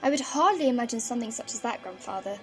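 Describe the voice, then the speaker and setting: Curious young granddaughter, played by a woman: high voice, earnest, polite but outspoken, audio drama over soft music. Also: lively intonation, fast talker, close to an everyday voice. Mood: earnest, puzzled. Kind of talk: fan audio drama acting. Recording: music underneath.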